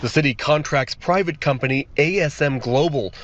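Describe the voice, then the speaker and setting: Measured male TV news reporter. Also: a little high, a bit bright. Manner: measured, formal. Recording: dull lo-fi broadcast clip with faint noise.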